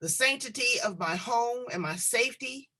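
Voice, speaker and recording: A woman talk show host with a bright, forceful voice that swings widely in pitch. She talks in a playful, animated way with slangy asides, sitting on a video call. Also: moderate pace, slightly rough. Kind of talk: lively talk show chat.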